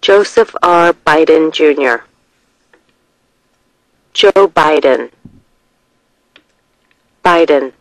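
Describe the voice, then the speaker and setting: A narrator reading scripted test questions and short answers in a measured, deliberate way. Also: mid-range voice, dry recording, poor audio.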